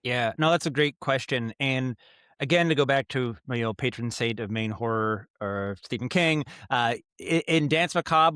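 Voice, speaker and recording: A man's voice: medium pitch, a bit bright, no room sound, studio quality. A man giving casual interview answers as a guest, thinking aloud in a rambling, thoughtful way and often rephrasing. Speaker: podcast guest, writer and audio drama director.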